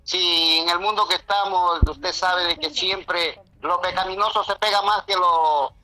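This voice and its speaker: A man's voice: high-pitched, steady tone, unhurried, pushed and bright, poor audio. A man calling into a radio show by phone, rambling in a preachy, hesitant way about God and good character. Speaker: caller. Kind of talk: religious phone-in chat.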